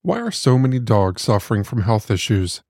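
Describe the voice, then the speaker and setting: A man's voice: lowish voice, big pitch swings, normal speed, a little mellow, dry recording. A man reading scripted podcast narration as the host, close to the microphone. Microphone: close studio microphone. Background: music.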